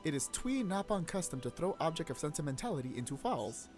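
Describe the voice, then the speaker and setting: Man voicing a sentimental little furry game creature, lively pitch, faint game music behind. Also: fast. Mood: earnest. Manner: reads game dialogue aloud with a light put-on voice.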